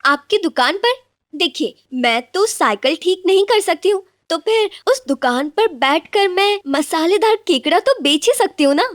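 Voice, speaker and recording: A woman's voice: very high voice, lively intonation, moderate pace, no echo, clean studio sound. A woman voicing a sensible young village wife. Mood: persuasive. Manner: coaxing, gently persuasive. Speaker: wife character. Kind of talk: animated cartoon dubbing.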